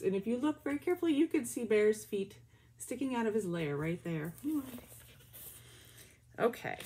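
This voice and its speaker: A woman in her forties, a teacher, reading picture books aloud to children in a lively, drawn-out storybook way with soft asides, recorded on a webcam in a small bedroom. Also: medium pitch, breathy edge. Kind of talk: children's read-aloud with comments.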